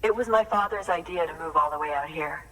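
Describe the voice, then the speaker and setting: Low-voiced, earnest woman photographer played by an actress. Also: fast talker, fairly bright tone, distant mic, lightly acted. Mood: earnest, resolute. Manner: earnest, hesitant, heartfelt storytelling. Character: earnest, anxious female photographer carrying on her late father's research. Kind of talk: naturalistic video game cutscene acting.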